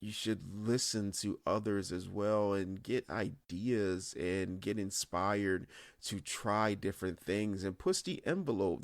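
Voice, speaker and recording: A man with a very low voice talks casually as an interview guest. He thinks aloud haltingly, in a dry recording. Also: dry recording. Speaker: podcast guest, audio drama creator.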